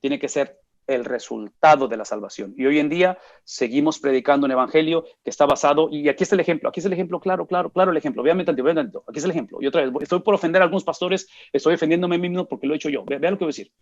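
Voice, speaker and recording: A man's voice: on the high side, rapid-fire. A man in his forties with a Mexican accent, teaching a Bible study in an emphatic way and answering his own rhetorical questions. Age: 40s. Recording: dull lo-fi recording.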